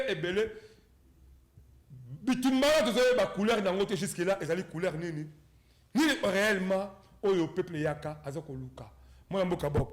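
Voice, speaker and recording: A man hosting a political talk show, animated and prompting his guests. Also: high voice, reverberant. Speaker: debate host.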